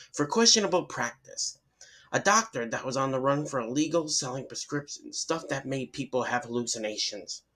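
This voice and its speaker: A man's voice: medium pitch, bright, forceful tone. A man reading a horror story aloud in dramatic first-person narration, sometimes stumbling over words and restarting.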